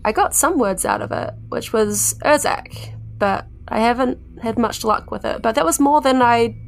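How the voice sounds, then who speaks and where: A woman's voice: a little high, normal speed, vocal fry, soft background music. A woman voicing an anxious, guarded young mage in a tabletop fantasy game, hesitant and earnest. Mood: sheepish, hopeful.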